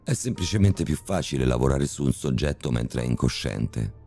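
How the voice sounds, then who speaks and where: A man playing a cold, calm, sadistic male surgeon who speaks with polite, mocking menace in a horror story reading. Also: very deep voice, normal speed, creaky, full character voice. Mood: icy calm.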